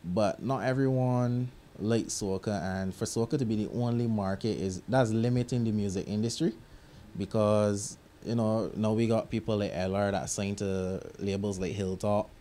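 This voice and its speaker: Low, mellow Barbadian man. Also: moderate pace, slight background noise. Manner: relaxed, reflective, drops into local grammar. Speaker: music producer. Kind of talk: casual interview answers. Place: recording studio.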